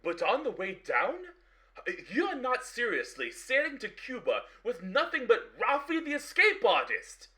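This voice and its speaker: A man's voice: very high-pitched, huge pitch swings, a little slow, pushed and bright, heavily acted. A man playing an exasperated but loyal barbershop owner in a radio play, speaking earnestly and emphatically. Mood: scolding, worried.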